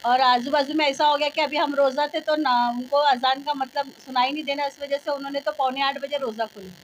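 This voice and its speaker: Very high-voiced Mumbai woman. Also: steady tone, brisk, a little hiss or hum. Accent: Mumbai Hindi. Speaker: local resident being interviewed. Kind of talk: informal street interview.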